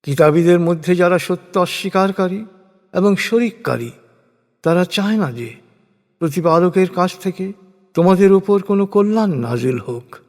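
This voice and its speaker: A man narrating translated scripture in a solemn, measured, formal way, phrase by phrase with falling endings. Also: sits high, lively intonation, a little mellow. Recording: long reverberant hall effect.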